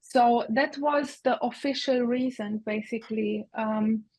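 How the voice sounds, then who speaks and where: Guest: Hungarian-native woman from Romania, 50s, fairly high voice, dry close sound, thoughtful and halting. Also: steady tone, darkish tone, no echo, low-quality recording. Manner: reflective, many fillers and restarts. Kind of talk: conversational interview answers.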